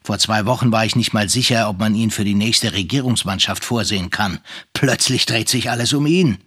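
Radio drama casting: vengeful state premier, cold and pointed, low husky voice. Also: male voice, fairly quick, a bit bright. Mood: cold, scheming. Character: calculating, vengeful male state premier settling scores. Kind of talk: dramatic first-person monologue.

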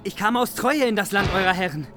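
Maimed minstrel voiced by a man, shrill anguished wail, much higher than his usual voice. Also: animated, a little fast.

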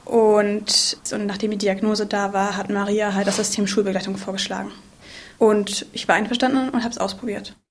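Young woman, 20, interviewee, deliberate and thoughtful delivery. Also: medium pitch, level tone, a little hiss or hum. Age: 20s.

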